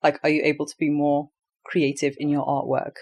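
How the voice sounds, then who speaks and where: A woman's voice: deep voice, monotone, a little fast, darkish tone, husky. A woman hosting a podcast who asks questions steadily and backs up answers with short affirmations. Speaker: podcast host. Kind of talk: hosted interview.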